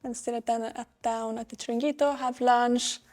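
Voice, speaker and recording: A young woman raised in Norway, working as a real estate agent and answering interview questions in a relaxed, easygoing way. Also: a little high, moderate pace. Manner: relaxed, short easygoing replies with light laughs.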